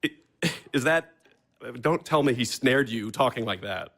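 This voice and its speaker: A man's voice: medium pitch, animated, a bit bright. An actor in an audio play as a brash, cynical, wealthy man who talks bluntly and mocks everyone around him. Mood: sardonic. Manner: blunt, sarcastic, quick to mock.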